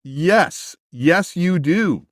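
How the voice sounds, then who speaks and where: A man's voice: on the high side, expressive, very slow, no echo, studio quality. A man playing a principled history book puppet in a musical show, speaking in a declarative, instructive way. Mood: firm, earnest.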